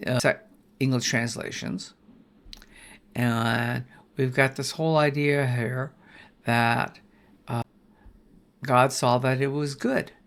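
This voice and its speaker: An older man with a gravelly, creaky voice walks through a Bible study lesson in a relaxed, folksy way. He often restarts his phrases and tosses in "right?" as he goes. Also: mid-range voice. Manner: folksy, rambling, with many restarts and "right?" checks. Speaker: Bible teacher.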